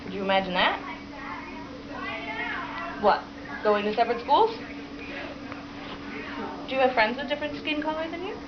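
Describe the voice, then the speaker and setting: A woman's voice: high voice, lively intonation, normal speed, pauses often. A woman reads aloud to children as a teacher would, asking questions and encouragingly repeating their answers. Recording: dull, lo-fi home recording with clear background noise.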